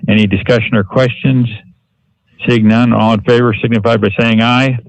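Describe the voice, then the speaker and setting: A man chairing a county board meeting in formal procedural language, with a somewhat halting delivery. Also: mid-range voice, little pitch movement, vocal fry, no echo, poor audio.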